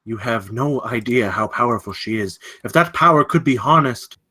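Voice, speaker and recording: Man acting a bright-edged, menacing villain. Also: medium pitch, animated, normal speed, breathy edge. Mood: cold scorn. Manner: threatening, taunting. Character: menacing, ruthless armed villain, coldly scornful. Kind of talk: table read of a film script.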